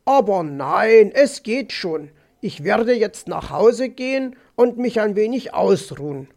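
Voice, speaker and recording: A man voices a courteous storybook fox, male, gentle and a little dazed. The delivery is polite and unhurried, in a high, creaky voice. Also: big pitch swings, heavily acted. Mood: gallant. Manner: polite, slow, friendly.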